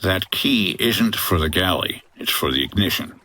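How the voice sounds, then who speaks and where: Low-voiced, wry 1950s skipper. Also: male voice, moderate pace, slight background noise. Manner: deadpan, wry. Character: wry, gruff 1950s boat-skipper adventurer. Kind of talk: old-time-radio-style comedy adventure acting.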